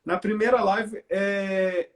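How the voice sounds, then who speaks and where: A man from Belo Horizonte hosts a casual live video chat and keeps things loose and conversational. His voice sits noticeably high for a man. It comes through a video call from his home. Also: little pitch movement, slow. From Belo Horizonte, Brazil. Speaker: live stream host. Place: at home by a window.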